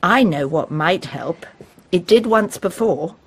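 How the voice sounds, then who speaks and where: Period drama matriarch: proud, fond, domineering, lively swoops, slightly rough tone. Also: female voice, fairly low pitch, slow pace, exaggerated voice. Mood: fussing. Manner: grand, fussing, animated. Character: proud, fond, domineering middle-aged mother. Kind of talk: period stage-play radio acting.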